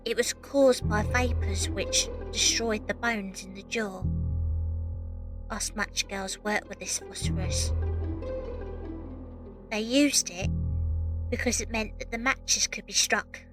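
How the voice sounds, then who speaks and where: A woman's voice: medium pitch, slow pace, long pauses, natural voice. A woman playing a defiant young Victorian match girl who used to be in the circus, plain-spoken, in an audio drama. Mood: somber narration. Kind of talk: fan audio drama acting and first-person narration. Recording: music behind the voice.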